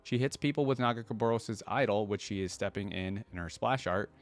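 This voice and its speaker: A man hosting a reaction video, giving casual, excitable commentary in slangy language and addressing his viewers directly on a close mic. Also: on the low side, brisk, soft background music.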